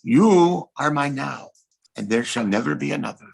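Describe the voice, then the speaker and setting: A man's voice: mid-range voice, expressive, normal speed, creaky, husky, exaggerated voice. A man playing an ardent, tender young French king in a courtly, theatrical audio drama style.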